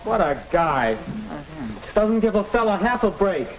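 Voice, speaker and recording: High-pitched teenage boy, boyish. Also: lively intonation, a little slow, a crowd in the background. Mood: sulky. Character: sulky, defensive teenage boy on a school team. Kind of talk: mid-century educational film acting. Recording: dull, noisy old film soundtrack.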